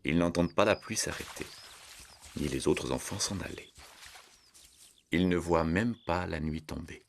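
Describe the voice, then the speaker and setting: A man narrating a scripted children's story in measured, simple sentences. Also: low voice, gravelly, slight background noise.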